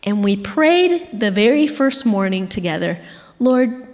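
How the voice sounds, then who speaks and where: A woman's voice: medium pitch, sing-song, measured pace, darkish tone. Woman preaching in a reverberant hall. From Argentina. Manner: earnest, conversational, asks the audience questions. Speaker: guest speaker. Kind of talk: sermon storytelling. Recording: long church hall reverb.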